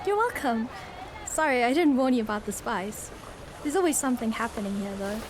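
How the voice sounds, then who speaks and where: Fisherwoman, female actor, radio drama: high voice, easygoing and welcoming, noisy watery ambience. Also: expressive, fairly quick, natural voice. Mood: explaining, easygoing. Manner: breezy, reassuring, friendly. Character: friendly, easygoing fisherwoman and generous local guide.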